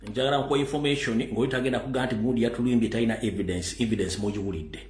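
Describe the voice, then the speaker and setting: A man's voice: mid-range voice, fairly even intonation, very fast, a little hiss or hum. Insistent male political commentator, echoey room. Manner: urgent, insistent, mixing English into his speech. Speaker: political talk show host.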